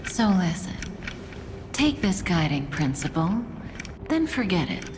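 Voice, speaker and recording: An actress playing a calm, wise and enigmatic mentor in a video game, speaking in a measured, soothing manner. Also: female voice, fairly low pitch. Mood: calm, guiding. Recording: clear background ambience noise.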